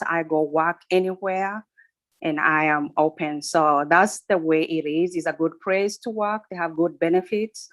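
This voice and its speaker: Slow-paced Kenyan woman. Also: lowish voice, a bit bright, rough recording. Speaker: nurse and job fair panelist. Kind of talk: informal testimonial and question answering over a video call.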